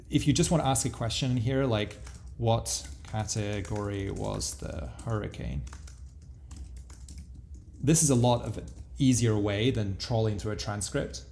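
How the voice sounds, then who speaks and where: Mellow man giving a creaky-voiced software demo. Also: on the low side. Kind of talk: casual product walkthrough.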